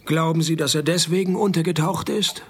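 An actor playing a laconic, sarcastic private detective in a hard-boiled radio play. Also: male voice, fairly high pitch, fairly even intonation, fairly quick, breathy edge. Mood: deadpan, sarcastic. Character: deadpan, wisecracking male private detective narrator.

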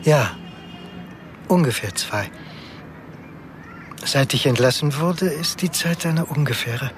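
An actor playing a weary, self-deprecating office worker in his fifties, speaking with resigned pauses in a radio drama. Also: on the high side, animated, slight background noise. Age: 50s. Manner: deliberate, pausing, resigned.

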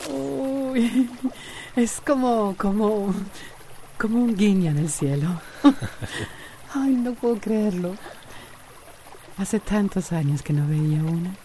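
A woman's voice: mid-range voice, slow pace, warm tone, slight background noise. An actress with a Costa Rican accent playing Amanda, a bold, independent, reflective woman in a radio soap opera. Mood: excited wonder.

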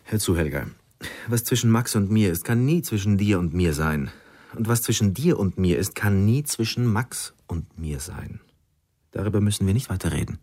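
A man's voice: lowish voice, expressive, moderate pace, dark tone. An actor playing a theatrical, self-pitying intellectual man in his thirties in a radio drama, speaking with grandiloquent mock pomposity. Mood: defensive.

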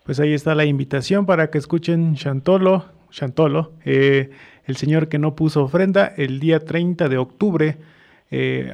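A man's voice: on the high side, gravelly, darkish tone. A man with a Mexican accent hosting a casual radio interview. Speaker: radio show host.

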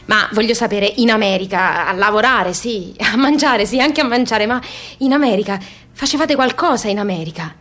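An actress playing a young, insistent daughter of emigrants in radio theatre, speaking. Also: female voice, medium pitch, moderate pace, a bit bright, natural voice. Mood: insistent, curious. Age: young adult. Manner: insistent, repetitive questioning. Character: insistent, curious young daughter questioning her emigrant mother. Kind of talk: radio theatre dialogue and monologue.

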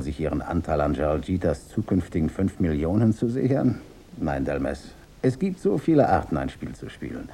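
An actor playing a smooth, mocking crime boss in a German radio drama, politely threatening. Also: male voice, very deep voice, mellow, heavily acted. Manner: polite, veiled threats, ironic.

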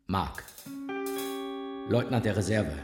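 An actor playing a military-minded department head who gives a clipped, terse statement in a radio drama. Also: male voice, on the low side, slow pace, reverberant, music in the background. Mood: curt. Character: curt, military-minded male tax office department head. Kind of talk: naturalistic radio drama testimony.

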